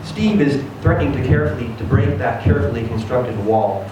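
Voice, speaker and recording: A man's voice: medium pitch, expressive, a little fast. Man reading a story in a very echoey room. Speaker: reader of a short story at a live event. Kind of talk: dramatic first-person story reading. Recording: very echoey room, distant mic, noisy.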